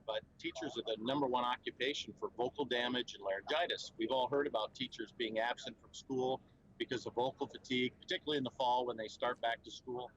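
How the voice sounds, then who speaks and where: A man's voice: mid-range voice, a bit bright, slight background noise, poor audio. A man speaking as a company spokesman at a trade show, explaining in an earnest, fluent way with frequent fillers and restarts. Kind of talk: conversational explainer interview.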